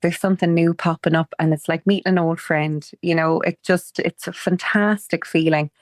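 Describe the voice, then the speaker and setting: A woman's voice: on the low side, steady tone, moderate pace, dry recording, clean studio sound. A young adult Irish woman, a wildflower guide, chatting enthusiastically in an interview with lots of "like". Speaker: guest, wildflower walk guide. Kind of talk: conversational interview. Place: island home.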